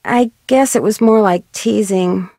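A woman's voice: medium pitch, unhurried, creaky, dark tone, dry recording, studio quality. An actress playing a polite, earnest young female amateur detective in a video game, courteous and a little sheepish.

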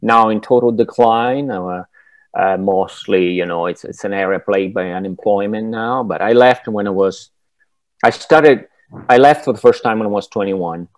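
Director guest, 40s, Italian accent, low, dry close sound, reflective and halting. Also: male voice, dry recording. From Italy. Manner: thoughtful, halting, searches for words with many restarts. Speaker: film director, masterclass guest. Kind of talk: reflective interview answers. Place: home room, indoors. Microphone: wired earphones with mic on a video call.

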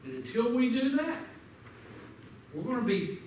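A man's voice: very high-pitched, very animated, measured pace, a little mellow. A man teaching a Sunday school lesson, fervently quoting scripture and urging his listeners directly, in a large church sanctuary. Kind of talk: preaching Bible lesson. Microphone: far camera at back of pews. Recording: echoey sanctuary from far off, faint noise.

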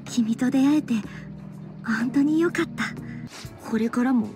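A woman's voice: sits high, a little slow, over music. An actress playing a sweet, earnest teenage girl in love in a game romance, intimate and girlish. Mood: tender, earnest. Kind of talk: Japanese game romance acting.

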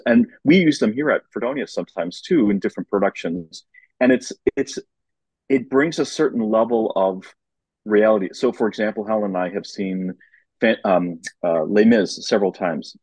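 Low, slightly husky man chatting. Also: animated, moderate pace, darkish tone, no room sound, rough recording. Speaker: podcast guest, opera commentator. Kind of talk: casual chat.

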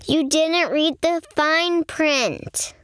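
A woman reading a picture book aloud to young children in an expressive storytelling manner. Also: sits high, drawn-out words, dry recording. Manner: expressive, addressing young listeners. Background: music.

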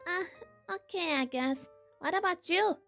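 A woman's voice: extremely high pitch, a little slow, loud music behind the voice. A woman voicing a sweet, lovestruck young cartoon hedgehog girl, girlish and theatrical, in an amateur comic dub. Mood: sheepish.

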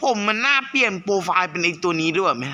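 A man's voice: high voice, animated, fast, bright, forceful tone. A man hosting a gaming channel, chatting informally to viewers as he introduces a fan-dubbed cartoon.

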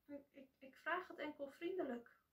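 A woman voicing a timid, kind young girl who speaks softly and hesitantly, in an echoey room. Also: high-pitched, moderate pace, voice pushed up. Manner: soft, hesitant and pleading. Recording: echoey room, voice a bit distant.

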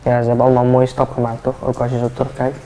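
An eighteen-year-old man, a football player, chatting relaxed and hesitant with many fillers while getting a haircut in a barbershop. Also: mid-range voice, very fast, a little hiss or hum, poor audio. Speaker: football player, interview guest. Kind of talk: casual interview chat. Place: barbershop, indoors, seated in barber chair.

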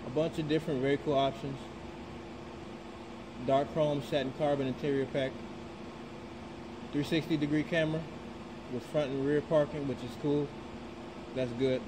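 Man touring a car, flat-voiced. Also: a little high, background noise. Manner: flat, unhurried, reads specs aloud with fillers. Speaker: car vlogger. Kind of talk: casual walkaround commentary. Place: car showroom, indoors.

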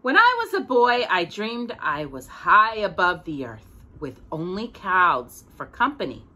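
Woman, middle-aged, storytime read-aloud, slow and deliberate, highly varied pitch, expressive emphasis. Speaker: host of a children's storytime. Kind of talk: animated read-aloud of a picture book to a child. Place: small indoor room with bookshelves.

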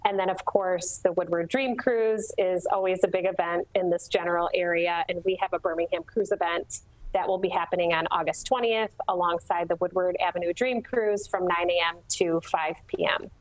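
A woman's voice: on the low side, fairly even intonation, moderate pace, rough recording. A middle-aged woman giving event information as an interview guest over a video call on an earbud mic. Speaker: interview guest, shopping district representative. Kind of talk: informational interview talk about local events. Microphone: earbud headset on a video call.

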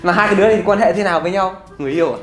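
A man's voice: high voice, rapid-fire, fairly bright tone. Man in his twenties, loose casual banter, roomy recording with music underneath. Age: 20s. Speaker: quiz contestant. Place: indoor studio, seated at table.

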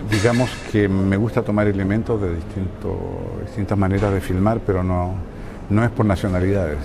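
An older Chilean man speaks in a lowish voice, hesitating and hunting for the right words. He is being interviewed out on a city balcony, with clear outdoor noise behind him. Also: fairly even intonation, normal speed. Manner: hesitant, searching for words with many fillers. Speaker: interviewee, filmmaker. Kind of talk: casual interview answers.

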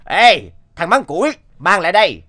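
A man voicing an excitable, gossipy soldier guarding a camp, exclamatory and blustering, in an animated tale. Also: sits high, moderate pace, full character voice. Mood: gossipy, brisk. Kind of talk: Vietnamese animated moral tale voice acting.

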